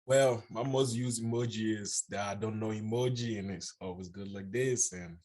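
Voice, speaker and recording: Teenage boy, from Liberia, somewhat low voice, soft-spoken, measured. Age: teens. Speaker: youth leader presenter. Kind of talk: scripted youth talk.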